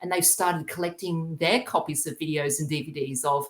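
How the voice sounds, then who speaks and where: A man's voice: on the high side, steady tone, moderate pace, fairly bright tone. A young Australian man chatting casually as an interview guest, hesitant and earnest in his delivery. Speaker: podcast guest, YouTuber. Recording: roomy, echoing sound.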